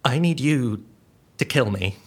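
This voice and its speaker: An actor playing an anxious, earnest scientist on the run in an audio drama, explaining things in a precise, literal way with a husky voice. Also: male voice, medium pitch, animated, a little slow. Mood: fearful, urgent.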